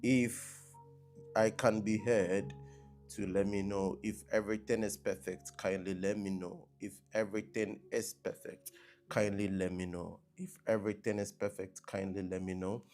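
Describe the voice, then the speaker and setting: A man preaching on a live stream who repeats his blessings and keeps asking viewers whether he can be heard and seen clearly. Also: on the low side, level tone, normal speed, a little mellow, faint music behind. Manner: repetitive, checking with online viewers. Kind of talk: live-streamed sermon opening. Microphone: laptop mic on a live stream.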